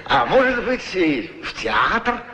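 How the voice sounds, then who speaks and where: Casting: kindly, humble shoe shiner, plain-spoken, slightly high animated voice, radio drama with noisy ambience. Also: male voice, slow pace. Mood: curious, friendly. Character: humble, friendly male street shoe shiner. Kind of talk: radio play acting. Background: clear ambient noise.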